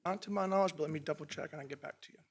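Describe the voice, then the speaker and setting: A man on county planning staff, presenting a formal, measured report to a commission. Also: a little high, vocal fry, husky. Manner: measured, reads from prepared text.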